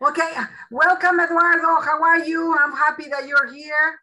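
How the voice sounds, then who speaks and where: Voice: high-pitched, flat delivery, normal speed, bright, forceful tone, rough recording. A newspaper representative welcoming a newcomer on a video call in an upbeat way.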